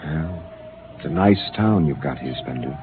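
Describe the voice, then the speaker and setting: An actor playing a calm, steady spaceship captain who speaks in a measured, commanding way in a 1950s radio drama. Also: male voice, rumbling low, faint music behind. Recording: lo-fi old radio broadcast.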